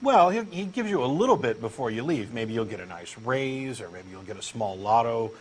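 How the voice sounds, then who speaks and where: Brief: Texan man, earnest and pushy, bouncy fairly high delivery, faint hiss behind. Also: expressive, a little fast, darkish tone. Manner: eager, insistent true believer, earnest and pushy. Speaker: co-host doing a comic dramatic reading. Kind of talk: comic scripted dialogue reading.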